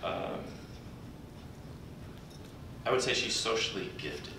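An older man speaks from a podium in a big conference hall, his lowish voice folksy and broken by pauses. He is picked up from far off on a room recording, with the hall ringing around him and a steady rumble underneath. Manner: folksy, warm-humored, halting with pauses. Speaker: conference speaker introducing a colleague. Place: large conference hall, indoors. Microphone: podium mic, recorded from afar by a computer. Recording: distant echoey hall recording with background rumble.

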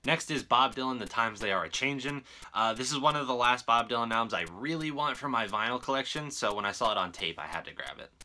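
A man's voice: mid-range voice, fast talker, hardly any pauses, pushed and bright. A young man talking casually to camera in a show-and-tell style, informal and given to swearing. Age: young adult. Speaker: vlogger showing a music collection. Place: small room at home, indoors.

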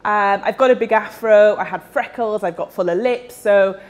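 A woman's voice: mid-range voice, normal speed. A young woman with a West Yorkshire accent, giving a chatty talk at a meetup into a handheld mic in a large event space. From West Yorkshire, England. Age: young adult. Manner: chatty, with many fillers. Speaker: speaker at a tech meetup. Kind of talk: casual presentation talk. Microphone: handheld microphone.

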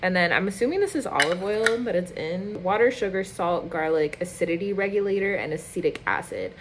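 Young woman in her twenties, home cooking vlogger; lowish voice, self-mocking and rambling, phone-recorded in a quiet kitchen. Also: sing-song, a little fast, background noise. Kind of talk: casual cooking vlog. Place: small home kitchen and apartment room.

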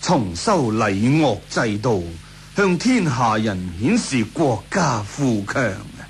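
Male fawning chief minister, unhurried, animated intonation. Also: medium pitch, soft background music. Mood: deferential, eager. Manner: deferential, unctuous delivery. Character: obsequious, ambitious male imperial chief minister. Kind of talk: Cantonese radio drama acting.

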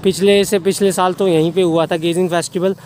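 A man's voice: high-pitched, fairly even intonation, a little fast, a little mellow. A man in his twenties from Haryana, India, talking casually to camera as a hitchhiking travel vlogger. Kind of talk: casual vlog narration to camera. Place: outdoors on bridge and highway, later in a car. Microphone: phone or camera at arm's length. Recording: noisy outdoor roadside and vehicle noise.

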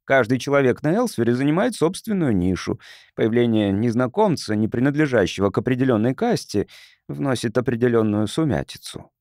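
A man's voice: medium pitch, lively intonation, a little fast, darkish tone, no echo, studio quality, lightly acted. A man voicing a proud, guarded planetoid councillor in an audiobook, formal and explanatory. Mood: stiff, earnest. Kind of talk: audiobook character reading.

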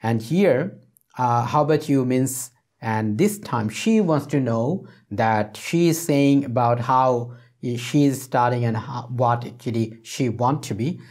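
A man's voice: medium pitch, unhurried, darkish tone. Man teaching English. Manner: repeats phrases for emphasis. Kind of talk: explanatory spoken English lesson. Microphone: lapel microphone.

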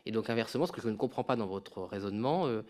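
A young man chairing a parliamentary hearing, asking a witness long questions into a desk microphone in a large committee room, pausing on many fillers. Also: on the low side, expressive, a little mellow. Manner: searching for words, many fillers. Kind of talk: formal questioning.

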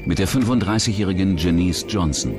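Deep-voiced man narrating true crime. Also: fairly quick, loud music behind the voice. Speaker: documentary narrator.

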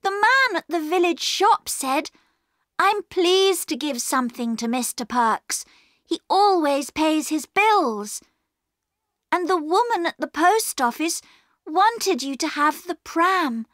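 Woman putting on an earnest high-voiced young girl. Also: lively intonation, slow pace, fairly bright tone, no room sound, studio quality, exaggerated voice. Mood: pleading. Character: earnest, kind-hearted young girl pleading. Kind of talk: storybook character reading.

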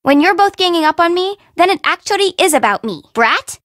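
A woman's voice: very high voice, animated, a little fast, a bit bright, dry recording, studio quality. A woman playing a perky, sassy young Japanese bento shop owner in a video game, with an informal, teasing, sarcastic manner. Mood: sarcastic, annoyed. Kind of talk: video game character voice acting.

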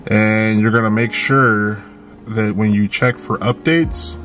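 A man hosting a car channel, talking casually step by step through a tutorial with lots of fillers, recorded inside a parked car. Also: fairly low pitch. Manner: casual, repeats steps, many fillers.

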